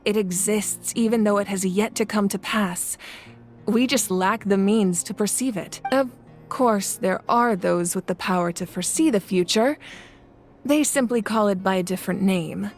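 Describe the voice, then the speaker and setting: A woman's voice: medium pitch, close to an everyday voice. Composed female ruler acted by a woman, music behind. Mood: solemn, explaining. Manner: measured, earnest, composed. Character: composed young female ruler-god, proud, caring, wise leader. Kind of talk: video game dialogue, storytelling.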